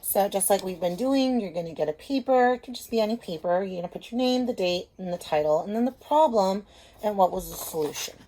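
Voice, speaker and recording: A woman's voice: medium pitch, animated, a little fast, mellow, rough recording. A woman teacher giving a casual lesson to children, explaining simply and checking in with short questions.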